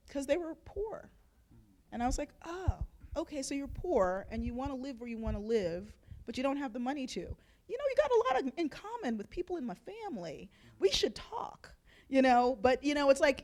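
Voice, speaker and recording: A woman's voice: high-pitched, sing-song, normal speed, darkish tone. A woman moderating a panel discussion, talking chattily with lots of "you know" and "like". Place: lecture hall event.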